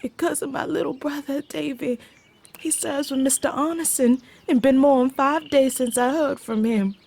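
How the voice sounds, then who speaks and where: High-voiced, timid Southern servant girl. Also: steady tone, normal speed, gravelly, a little mellow. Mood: anxious, sad. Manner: deferential, hesitant, plain-spoken. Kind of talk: video game cutscene acting.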